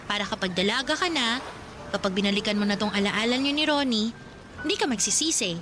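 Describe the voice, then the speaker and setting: A woman's voice: mid-range voice, lively intonation, brisk, music in the background, lightly acted. A woman playing a sentimental, teasing older girl cousin in a radio romance drama, confiding and dramatic. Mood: gently urging.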